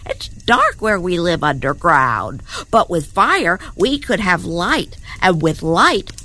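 Woman voicing a naive young boy rabbit, lower voice, lively swooping pitch, excitable and gasping, storybook tale. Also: takes it slowly, exaggerated voice. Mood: scheming. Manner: excitable, gasping, boastful then sheepish.

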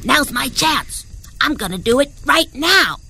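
A woman's voice: sits high, measured pace, creaky, full character voice. Woman doing an eager young boy rabbit. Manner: excitable, gasping, boastful then sheepish.

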